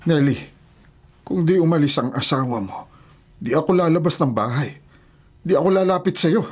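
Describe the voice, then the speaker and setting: A man's voice: a little high, lively intonation, moderate pace, slightly rough. An actor playing a resentful, worried old grandfather in a Filipino radio drama, speaking in a plaintive, grave manner. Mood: hurt, resentful.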